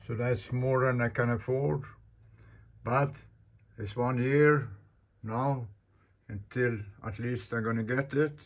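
A man in his eighties from Sweden, a solo sailor explaining his boatbuilding in a casual, halting, plain-spoken vlog in his workshop. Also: medium pitch, steady tone. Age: 80s. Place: large indoor boat workshop.

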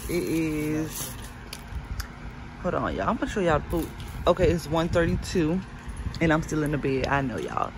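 A woman's voice: sits low, big pitch swings, normal speed, pauses often. A young woman, chatting to viewers in a casual phone vlog about her food and her day. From Florida, US. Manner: chatty, talks straight to viewers as y'all. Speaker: vlogger. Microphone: phone camera held at arm's length. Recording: noisy phone audio.

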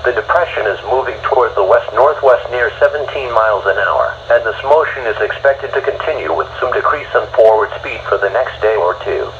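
An American man's synthetic text-to-speech voice reading a weather bulletin in a flat, formal way. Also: mid-range voice, a little fast, hardly any pauses, a crowd in the background. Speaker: automated weather radio announcer. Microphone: phone held to a radio speaker. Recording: dull radio broadcast re-recorded by phone, faint noise.

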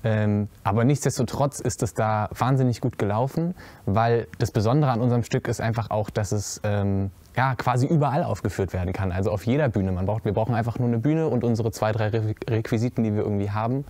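A man's voice: fairly low pitch, fairly quick, warm tone, no echo. A teenage boy on a stage panel, answering with stumbles and repeated words. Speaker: young cast member. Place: stage with curtain, indoors.

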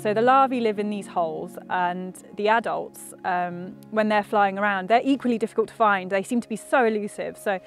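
A woman's voice: medium pitch, normal speed, hardly any pauses, faint music behind. A young woman explaining conservation fieldwork outdoors in a pine forest, chatty and enthusiastic, on a lapel mic. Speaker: conservation project officer. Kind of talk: casual explanation on location.

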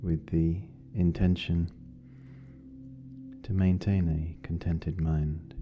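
Very slow, deep-voiced meditation guide. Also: little pitch movement. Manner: gentle, unhurried, with long pauses. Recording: dull lo-fi sound, music behind. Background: meditation music.

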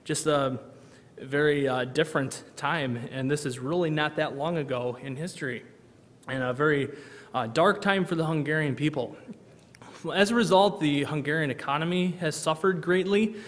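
A man's voice: fairly high pitch, normal speed. A man in his thirties, a missionary giving a church presentation from the pulpit, earnest with many ums and uhs. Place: large church sanctuary, at a pulpit. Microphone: pulpit microphone, far camera. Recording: long reverb of a church hall.

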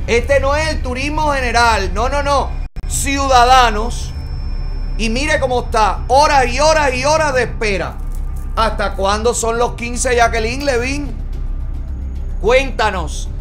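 A Cuban man in his forties hosts a studio commentary with a very high-pitched voice. He fires off indignant rhetorical questions and clips his words. Faint music plays behind him. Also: fairly bright tone. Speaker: host. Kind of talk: opinion commentary. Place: studio with yellow backdrop.

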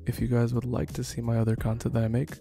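With music playing behind him, a man gives a casual, friendly sign-off to his viewers. His voice is dark and relaxed with plenty of fry, and he thanks people plainly. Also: medium pitch, quick pace. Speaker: online audio roleplay creator. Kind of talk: casual channel outro.

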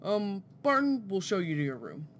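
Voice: medium pitch, big pitch swings, moderate pace, fairly bright tone, slight background noise. An actor playing a proud, genial inventor professor in a formal, theatrical 1940s radio style. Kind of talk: 1940s radio drama acting.

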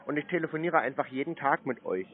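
A man's voice: on the high side, lively intonation, fast talker, slightly rough. A man who calls scammers, mocking and insulting them while pressing for their names. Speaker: scam-baiting caller. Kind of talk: confrontational phone call. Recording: dry tinny phone line.

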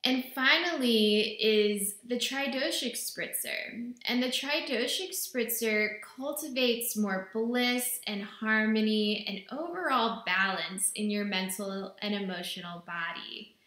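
A woman in her thirties presenting products to camera in a gentle, enthusiastic, unhurried way, in a small indoor room. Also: medium pitch. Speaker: brand representative presenting products. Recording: echoey room.

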